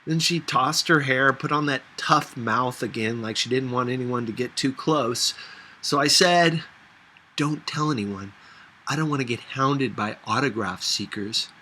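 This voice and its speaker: A man's voice: medium pitch, a little slow, sharp tone, a little hiss or hum. A man reading a first-person story aloud in a conversational, reflective manner. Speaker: audiobook reader.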